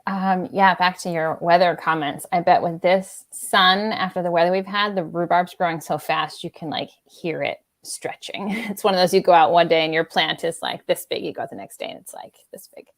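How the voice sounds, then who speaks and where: A woman's voice: on the low side, normal speed, few pauses, dry recording. A woman from Maine, a rhubarb winemaker talking as a podcast guest in a chatty, easygoing way with frequent fillers and laughs. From Maine, USA. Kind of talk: casual podcast conversation.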